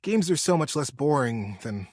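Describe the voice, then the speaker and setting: A man voicing an excitable, friendly young male beaver with a high-pitched, yelping voice in a story. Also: moderate pace, dry recording, studio quality. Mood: chatty, open.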